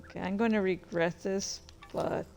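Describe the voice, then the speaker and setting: A woman's voice: medium pitch, fairly even intonation, normal speed, creaky, a little mellow, soft background music. A woman hosting a casual livestream, rambling and narrating her own actions with exclamations as she plays a game. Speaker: livestreamer and host. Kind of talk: casual livestream chat while playing a game.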